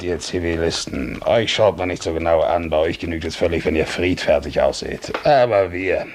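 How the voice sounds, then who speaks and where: A man's voice: low-pitched, heavily acted. An actor playing a domineering, grumbling Russian nobleman officer in a theatrical 1950s German radio drama. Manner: theatrical, blustering, musing. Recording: noisy 1950s radio recording.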